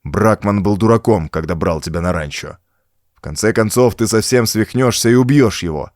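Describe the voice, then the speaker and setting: A man's voice: on the low side, normal speed, darkish tone, dry recording, studio quality, lightly acted. A man voicing a tough, wary adventure hero in an audiobook, speaking bluntly and challengingly. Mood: grim accusation.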